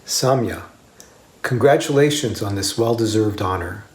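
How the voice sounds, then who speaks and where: A man from a foundation delivering a measured, formal congratulatory message. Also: fairly low pitch, slight background noise. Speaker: foundation representative. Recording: echoey room.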